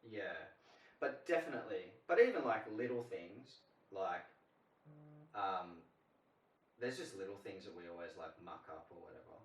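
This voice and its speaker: A man's voice: sits low, expressive, moderate pace, long pauses, distant mic. A man co-hosting a podcast in casual chat, rambling and filling his speech with "like". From Australia. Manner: rambling, heavy use of "like". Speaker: podcast co-host. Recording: roomy sound from off mic, faint hiss.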